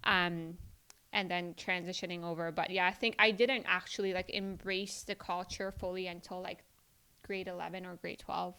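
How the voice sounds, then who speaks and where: A woman's voice: lowish voice, flat delivery, moderate pace, pushed and bright, clean tone, low-quality recording. A woman who grew up speaking Farsi, a podcast guest telling stories in casual chat, with many "like" fillers. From Iran. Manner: chatty storytelling, many "like" fillers.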